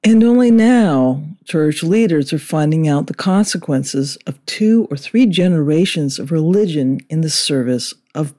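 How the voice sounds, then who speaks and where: A man reading a scripted political commentary in a measured, indignant way. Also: fairly high pitch, lively intonation, dark tone.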